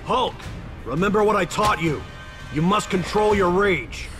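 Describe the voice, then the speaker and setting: An actor playing an earnest, disciplined martial artist in a game, respectful and formal in manner. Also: male voice, high voice, animated, unhurried, over music. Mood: urgent. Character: earnest, disciplined martial arts fighter, adult male. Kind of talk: dramatic game cutscene acting.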